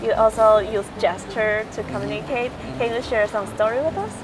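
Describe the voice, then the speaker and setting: A woman's voice: a little high, normal speed, over music, poor audio. A woman interviewer asks travelers short questions in a street interview and follows up on their answers.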